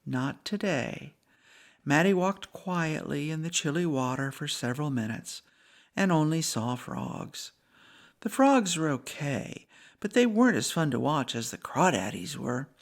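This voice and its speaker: A woman's voice: low voice, big pitch swings, measured pace. Woman narrating a children's storybook.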